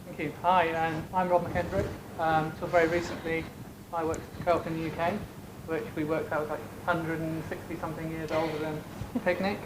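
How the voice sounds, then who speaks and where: A man from the United Kingdom moderating a conference panel in a relaxed, informal way with many fillers. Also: a little high, moderate pace. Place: conference stage. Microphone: handheld stage mic. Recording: echoey conference room with background noise.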